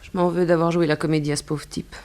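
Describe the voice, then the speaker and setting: An actress playing a seductive, world-weary gangster's moll, languid and coaxing, in a 1960s French radio crime drama. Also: female voice, sits low, level tone, quick pace, darkish tone, natural voice. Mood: weary, regretful. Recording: faintly noisy vintage radio recording.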